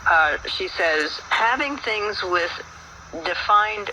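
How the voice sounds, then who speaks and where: A man hosting a radio talk, explaining informally and reading aloud in a halting, folksy way, heard over shortwave radio. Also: high-pitched, animated, bright, forceful tone. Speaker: radio show host. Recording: very noisy shortwave radio broadcast, distant.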